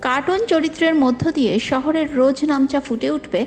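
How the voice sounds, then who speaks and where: A woman's voice: high voice, fairly quick, a little mellow. A woman reading scripted narration for a news feature.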